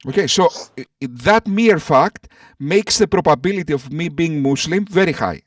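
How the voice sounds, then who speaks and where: A man argues in a voice chat, stumbling over his words and repeating phrases while calling others "sir." His voice sits a bit high and sounds distant from the mic in a dry space. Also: expressive, moderate pace, no room sound. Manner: repetitive, stammering, addresses others as sir. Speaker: atheist debater. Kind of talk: online voice-chat debate. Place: voice chat call.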